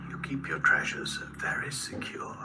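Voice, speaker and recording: An actor playing an ancient, courtly and menacing foreign vampire in a horror radio drama, savouring each formal word. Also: male voice, normal speed, distant mic, heavy background noise. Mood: quiet menace. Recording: echoing whisper over music.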